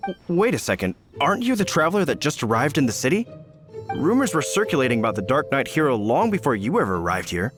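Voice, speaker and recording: A man's voice: medium pitch, very animated, fast, close to an everyday voice. A man acts out an eager, courteous knight in a video game. His mid-range voice moves animatedly up and down as he speaks formally. Background music runs beneath him. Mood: puzzled, courteous. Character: earnest, polite male city knight on duty. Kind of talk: video game character acting.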